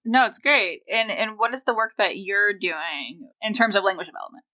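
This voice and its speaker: Woman, podcast co-host, curious follow-ups, bright tone. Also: medium pitch, normal speed. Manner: curious, asks follow-ups with small restarts. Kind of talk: conversational interview questions.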